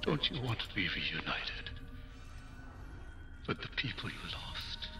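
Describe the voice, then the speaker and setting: Slow, menacing fanatical doctor. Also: male voice, on the low side, sing-song, creaky, full character voice. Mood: zealous menace. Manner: slow, measured, hushed menace. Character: calm, menacing fanatical male doctor, zealous villain. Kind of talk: dramatic video game acting. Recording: echoey room, loud background music.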